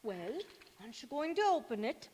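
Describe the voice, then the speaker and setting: A woman's voice: on the high side, big pitch swings, normal speed, darkish tone, slightly rough. A woman acting an old maidservant, grave and devoted, in an old-time radio drama on a stage. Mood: gruff fondness. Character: old Scottish maidservant and former nanny, dour and devoted. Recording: echoey church hall stage recording.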